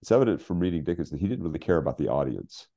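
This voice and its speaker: A man in his sixties talking reflectively as an interview guest, halting and repeating phrases, on a video call. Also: sits low, lively intonation, warm tone. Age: 60s. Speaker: guest, writer. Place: home room with bookshelves, on a video call.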